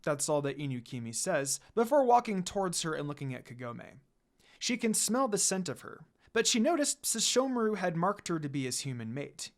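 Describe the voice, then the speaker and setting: A narrator reads a fantasy fan story aloud. The delivery is dramatic, with a medium pitch that moves up and down a great deal. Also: big pitch swings, normal speed.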